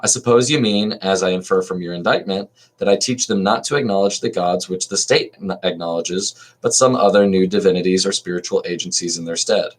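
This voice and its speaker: Man reads old philosopher defending himself at trial; deep, steady read-aloud voice. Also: fairly quick, hardly any pauses. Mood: defiant. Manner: reads aloud evenly, with small restarts.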